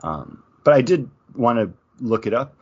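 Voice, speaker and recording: A man's voice: mid-range voice, sing-song, normal speed, a little mellow, husky, dull audio. A man co-hosting a podcast, chatting casually about geek news. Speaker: podcast co-host.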